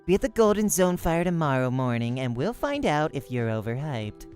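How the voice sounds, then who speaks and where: A man's voice: fairly high pitch, sing-song, normal speed, darkish tone. Casting: friendly male esports player, acted by a man reader, casual and teasing, visual novel game, faint music behind. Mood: breezy, teasing. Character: friendly, easygoing male pro gamer, encouraging rival.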